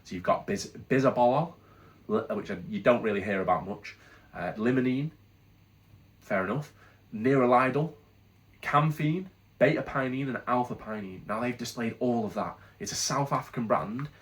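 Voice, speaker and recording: A man's voice: medium pitch, moderate pace, rough recording. A British man with a congested head cold, casually reviewing products in a chatty, candid, rambling way with frequent restarts. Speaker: product reviewer, channel host.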